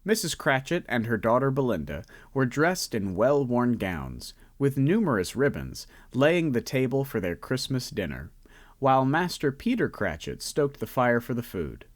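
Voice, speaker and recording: Lively, expressive male storyteller. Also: medium pitch, no room sound. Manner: savoring, slowed lists. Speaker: narrator. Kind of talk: scripted story narration.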